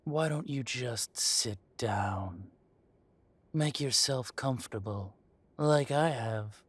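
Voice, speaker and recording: A man playing an arrogant dragon mafia boss in an audio roleplay, speaking deliberately with mock politeness and quiet threat. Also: medium pitch, slightly rough, dry recording. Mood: smug, casual. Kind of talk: scripted roleplay audio drama monologue.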